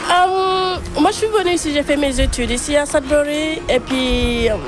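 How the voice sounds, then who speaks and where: A woman's voice: sits high, moderate pace, music in the background. A woman from Senegal giving brief, slightly shy answers in a street interview.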